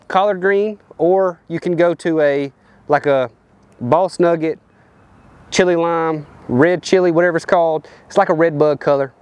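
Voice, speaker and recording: A man's voice: on the high side, darkish tone. A man, casually explaining fishing gear outdoors on a lapel mic, loose and rambling with lots of fillers. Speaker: professional bass angler. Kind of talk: casual fishing tips to camera. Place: outdoors on a riverbank and boat deck.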